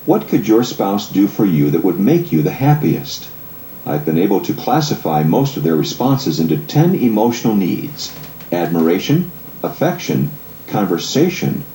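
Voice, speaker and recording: A man with a deep, expressive voice narrates a self-help audiobook. His delivery is measured and articulate, explaining like a counselor, with a mellow tone. The sound is noticeably roomy. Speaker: marriage counselor and author narrating. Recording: roomy echo, faint background noise.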